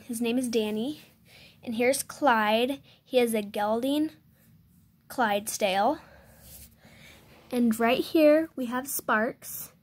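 A young female voice, fairly high and unhurried, talks through a tour of her toy farm. She pauses often, fills gaps with ums and corrects herself as she goes. It is recorded close on a handheld phone indoors. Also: darkish tone. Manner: hesitant, many ums and self-corrections. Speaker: channel host giving a toy barn tour. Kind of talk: unscripted show-and-tell tour. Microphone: handheld phone filming close.

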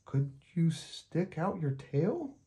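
A man's voice: fairly high pitch, very animated, slow pace, mellow, pitched above the performer's own voice. A man voicing an anxious, picky little boy in a storybook, earnest and questioning. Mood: nervous.

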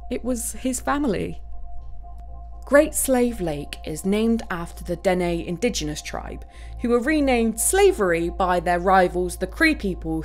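An actress playing a wistful search and rescue pilot, telling her story in a reflective, conversational audio-play monologue with hesitant pauses. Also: medium pitch. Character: wistful female search and rescue bush pilot recounting a tragedy.

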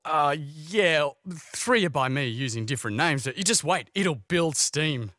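A disgruntled young cartoon housemate with an Australian accent , played by a man. He sounds stubborn and defensive, with a bright edge and a close, dry sound. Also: a little high, expressive, a little slow, no echo. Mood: defensive, hopeful.

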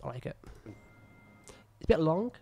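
Young man, croaky from illness, rambling self-critical commentary, close desk mic, small room. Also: on the high side, big pitch swings, slow pace, mellow. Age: young adult. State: ill, croaky voice. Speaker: filmmaker reviewing his own work. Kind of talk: casual commentary over footage. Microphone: studio mic on a boom arm close to mouth.

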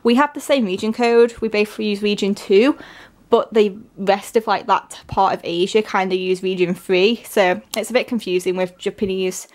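A woman from England with a stuffy, congested voice from a cold, chatting excitedly through an unboxing with lots of fillers. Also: mid-range voice, moderate pace. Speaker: vlogger. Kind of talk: casual unboxing narration. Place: at home, at a table.

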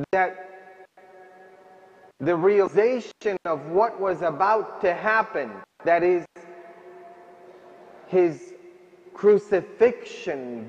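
A man in his thirties preaching a sermon as a priest, slow and emphatic, in a large stone church at a stand microphone. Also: sits high. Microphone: stand mic at ambo. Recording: echoey church, distant dull sound.

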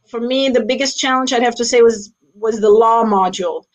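A woman's voice: a little high, fairly quick. A middle-aged woman from Austria who grew up in Switzerland, talking casually and thoughtfully on a video call from a small room, with many fillers. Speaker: sports executive, alumna guest. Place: small indoor room with a plain wall. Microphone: laptop webcam on a video call. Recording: slightly dull video call audio.

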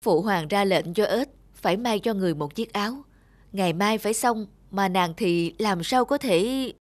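A woman's voice: medium pitch, moderate pace, no echo, lightly acted. A woman voicing an anxious, naive young prince in a storybook cartoon, hesitant and questioning. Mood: worried.

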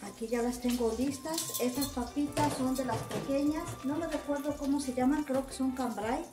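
A woman's voice: high voice, normal speed, music in the background. A woman with a Mexican Spanish accent explaining cooking steps casually, in a homely way full of diminutives. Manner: homely, uses many diminutives. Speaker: home cook presenting recipes. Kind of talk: casual cooking voice-over, step by step. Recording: roomy echoing home recording.

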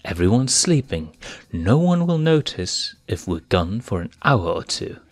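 A man's voice: fairly low pitch, sing-song, measured pace, no echo, voice pushed up, full character voice. A man voicing a mischievous young boy lion cub with a cocky, eager manner in a fanfiction reading.

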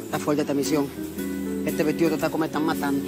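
Low-voiced pompous Dominican sleuth, played by a woman. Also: level tone, quick pace, close to an everyday voice. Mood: conspiratorial, boastful. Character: self-important, zealous amateur investigator. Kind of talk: comedy film acting. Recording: music behind the dialogue.

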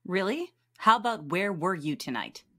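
A woman playing a tough, suspicious police detective with a gruff, sardonic manner in an audio drama. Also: fairly low pitch, big pitch swings, normal speed, a bit bright, full character voice.

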